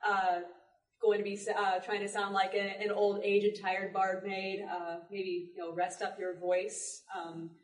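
The room echoes around a woman speaking a little away from the mic. She has a medium-pitched voice and gives casual advice as a panelist. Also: flat delivery, measured pace, far from the mic. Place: public library panel room.

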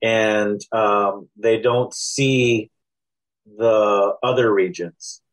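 A man from South Texas with a South Texas accent, a guest poet talking through his thoughts in a relaxed, reflective way with many pauses and restarts. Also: on the low side, rough recording. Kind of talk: casual interview conversation.

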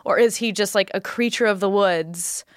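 A woman's voice: mid-range voice, moderate pace, clear, resonant tone, no room sound, clean studio sound. A woman in casual comedic podcast talk, retelling things with acted-out quotes. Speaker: podcast panelist.

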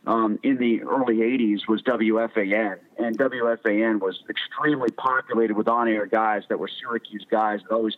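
A man in his forties with an American accent, a talkative sports journalist chatting casually as an interview guest. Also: fairly low pitch. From Connecticut, United States. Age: 40s. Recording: tinny phone-like radio line.

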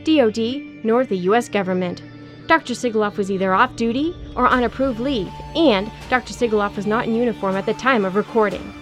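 A woman reading a formal scripted show introduction and disclaimer. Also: on the high side. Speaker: show announcer.

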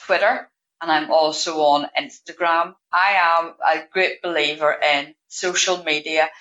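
Woman, low voice, earnest, explanatory, muffled lo-fi remote audio. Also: fairly even intonation, a little slow, bright, forceful tone. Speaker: guest payroll manager. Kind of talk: interview answers.

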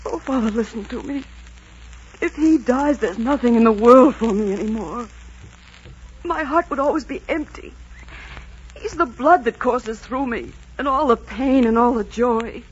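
A woman's voice: a little high, unhurried, breathy edge. A woman playing a passionate, desperate young innkeeper's daughter, pleading emotionally in 1940s radio melodrama style. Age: young adult. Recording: dull, scratchy 1940s radio recording.

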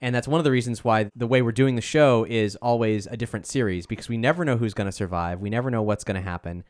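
A man's voice: mid-range voice, quick pace. A man hosting a podcast discussion in a conversational style. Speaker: podcast host leading the discussion.

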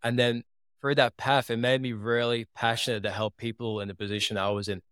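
A man's voice: medium pitch, level tone, a little fast, a bit bright, clean tone, no room sound, studio quality. A man in his twenties from England, with a Liverpool accent after years in Australia, earnestly telling his story as a podcast guest. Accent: Liverpool, living in Australia. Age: 20s. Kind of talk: casual interview talk.

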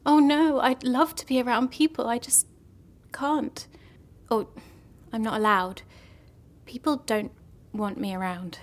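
Actress doing lonely gloomy bad-omen girl, high voice, earnest morbid storytelling, audio drama. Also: fairly even intonation, unhurried, long pauses, close to an everyday voice. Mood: wistful. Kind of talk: audio drama comedy acting.

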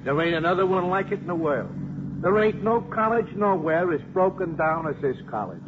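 An actor playing a slangy, talkative New cab driver in a 1950s radio drama. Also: male voice, high-pitched, lively intonation. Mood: amused, offhand. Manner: slangy, drawn-out, offhand. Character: plain-spoken New York cabbie, folksy and talkative. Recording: muffled noisy 1950s radio broadcast.

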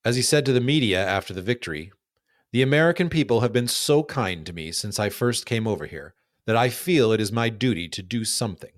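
A man reading scripted narrative for a documentary podcast in a measured, storytelling way. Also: medium pitch, lively intonation, dry recording, clean studio sound.

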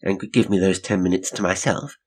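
A man reading aloud, voicing a bold, practical young woman with crisp, well-bred phrasing. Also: low-pitched, steady tone, fairly quick. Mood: brisk.

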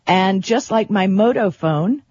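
A woman's voice: mid-range voice, expressive, a little slow, a little mellow, breathy edge. A woman co-hosting a tech radio show in casual, chatty, enthusiastic and informal conversation. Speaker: radio co-host. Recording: slightly dull, dry lo-fi radio stream.

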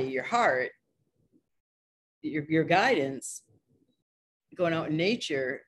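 A woman's voice: low-pitched, slight background noise. A woman speaking as a podcast guest, a healer and coach explaining ideas in an earnest, discursive, conversational way. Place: online video call.

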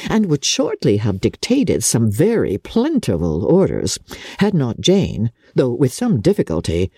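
A woman narrating a classic novel as a dramatic audiobook, with measured and expressive storytelling. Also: extremely low pitch, slightly rough, no room sound, clean studio sound.

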